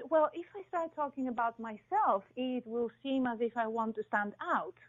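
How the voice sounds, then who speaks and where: A woman from Greece, a guest in an interview, talking slowly and thoughtfully with many pauses and fillers. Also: on the high side, phone-quality sound. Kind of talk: interview conversation.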